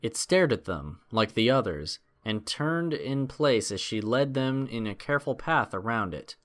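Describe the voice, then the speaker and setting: A man's voice: medium pitch, expressive, measured pace, a bit bright. A man narrating a scripted adventure story in a dramatic storytelling style.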